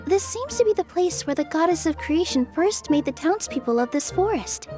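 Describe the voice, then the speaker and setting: Young fairy dancer in a game, really high sweet voice, polite n earnest, soft music behind. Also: female voice, lively intonation, moderate pace. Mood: earnest, explaining. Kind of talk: video game voice acting.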